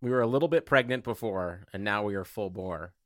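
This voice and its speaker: A man's voice: fairly low pitch, a little fast, fairly bright tone, no echo, studio quality. A man bantering casually on a podcast in a wry, joking way. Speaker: podcast co-host.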